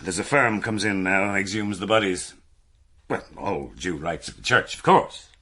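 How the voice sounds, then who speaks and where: An actor playing a gentle parish priest in a radio drama, measured and wistful with dry humour. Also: male voice, sits low, lively intonation, full character voice. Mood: wistful, wry.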